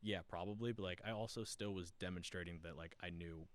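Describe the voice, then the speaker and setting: A man's voice: deep voice, fairly even intonation, fairly quick, no echo. A man talking as a podcast guest in casual chat, rambling and reflective. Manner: rambling, reflective, many fillers.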